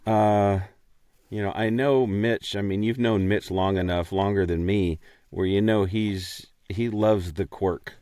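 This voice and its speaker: A man co-hosting a podcast in casual chat, speaking haltingly with a self-deprecating manner. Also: on the low side, fairly even intonation, mellow, no room sound, clean studio sound. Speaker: podcast co-host and amateur voice actor.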